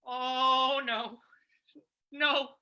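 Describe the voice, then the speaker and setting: Woman as a shrill, frightened young villager. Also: steady tone, very slow, bright, forceful tone, heavily acted. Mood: horrified. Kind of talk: old-time radio drama reading.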